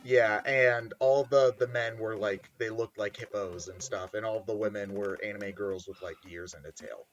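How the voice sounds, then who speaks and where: A man's voice: on the low side, steady tone, moderate pace, hardly any pauses, lo-fi sound. A man giving casual commentary on a game in a group chat.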